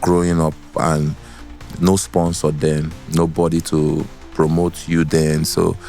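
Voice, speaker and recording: Nigerian male, very low bass, relaxed podcast storytelling with some Pidgin, music underneath. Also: takes it slowly, vocal fry. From Port Harcourt, Nigeria. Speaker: guest musician, singer. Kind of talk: casual storytelling in interview. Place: podcast studio.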